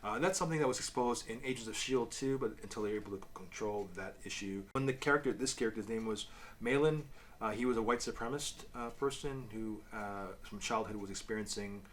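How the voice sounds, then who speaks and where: A man's voice: fairly low pitch, fast. Man, co-host, casual review chat, explanatory, loose phrasing, light background noise. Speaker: co-host of a comic review show.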